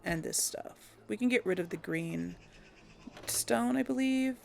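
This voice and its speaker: A woman's voice: mid-range voice, measured pace, gravelly, darkish tone. A woman hosting a casual gameplay video, chatting and thinking aloud with frequent giggles. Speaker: let's play host.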